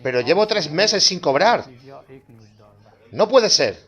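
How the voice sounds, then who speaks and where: High-pitched, pleading soldier, acted by a man. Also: sing-song, slow, fairly bright tone, full character voice. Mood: distressed protest. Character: distressed male soldier complaining of unpaid wages. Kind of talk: acted line in audio documentary drama.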